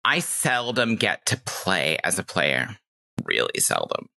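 A woman's voice: a bass voice, huge pitch swings, slow pace, vocal fry, pushed and bright. A middle-aged woman chatting casually and enthusiastically as a podcast guest, telling anecdotes. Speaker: podcast guest, game master.

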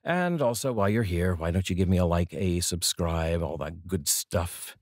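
A middle-aged man with a husky voice, speaking in measured, deliberate phrases like a poetry reader, close to a studio microphone. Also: deep voice, lively intonation, no room sound. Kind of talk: poetry reading with casual introduction. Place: small dark indoor studio. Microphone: large studio microphone, close.

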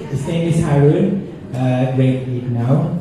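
A man from Indonesia introducing himself before a sermon, halting and friendly, with many fillers, speaking into a handheld mic. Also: mid-range voice, distant mic, noisy background. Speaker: lay preacher. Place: large church hall, indoors. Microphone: handheld mic, far camera. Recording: echoey hall recorded from afar.

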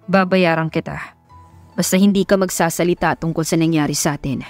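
Woman playing a commanding rich man, slow delivery. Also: low-pitched, steady tone, faint music behind, close to an everyday voice. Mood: cool, commanding. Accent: Filipino. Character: rich, commanding young man, baritone lover.

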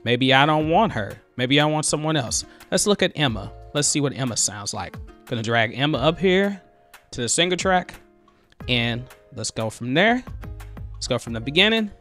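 Excited male music tech tutor. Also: mid-range voice, moderate pace. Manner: enthusiastic, conversational, excitable. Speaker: music producer, tutorial host. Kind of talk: screen-share software tutorial. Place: home studio, indoors. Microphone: headset with boom mic.

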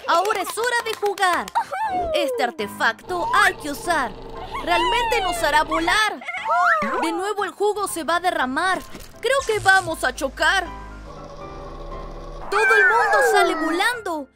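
A woman voicing an excitable young lion cub in a children's cartoon, speaking singsong rhymed lines with exclamations. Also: extremely high pitch, unhurried, pauses often, full character voice. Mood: playful. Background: music.

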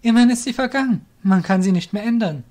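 Man voicing an earnest young apprentice warrior, pitched up. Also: fast, a little mellow. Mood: doubtful. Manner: anxious, questioning, respectful. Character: Seki, earnest young male apprentice warrior full of questions.

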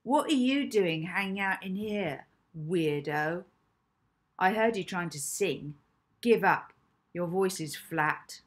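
A woman voicing a spiteful schoolgirl bully who jeers and mocks, louder and higher than her reading voice. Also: lively intonation, takes it slowly. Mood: sneering. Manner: loud, jeering, mocking.

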